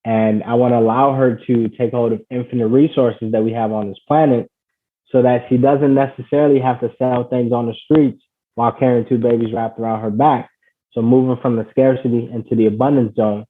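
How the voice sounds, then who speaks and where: A man from Ghana speaks earnestly as an interview guest, telling his story with frequent "you know"s and "basically"s. His voice sits at an ordinary middle pitch. The sound comes through a narrow, tinny call line. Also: steady tone, brisk. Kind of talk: conversational storytelling. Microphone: call audio. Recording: tinny phone-like call audio.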